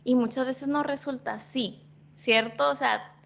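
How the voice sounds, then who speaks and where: A woman's voice: sits high, fairly even intonation, measured pace, creaky, a bit bright. A woman co-hosting a podcast, musing reflectively with many fillers. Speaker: podcast co-host. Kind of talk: casual podcast chat. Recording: dull lo-fi sound.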